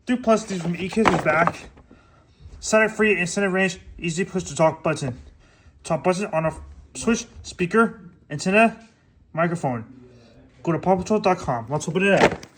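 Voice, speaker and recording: A man's voice: high voice, expressive, normal speed, a bit bright, slight background noise. A man reviewing and unboxing toys in a casual, rambling way, often stumbling and correcting himself. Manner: rambling, stumbles over words and restarts often. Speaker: toy reviewer.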